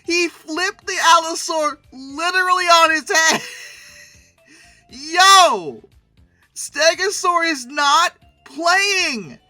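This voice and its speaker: A host giving casual, humorous commentary on pictures, rambling through asides and breaking into animated, mock-outraged exclamations. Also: extremely high pitch, extremely slow, dry recording, soft background music.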